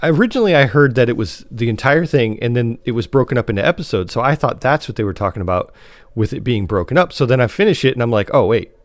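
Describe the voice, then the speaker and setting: A man co-hosting a podcast in casual, slightly halting conversation. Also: mid-range voice, animated, no echo, studio quality. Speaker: podcast host.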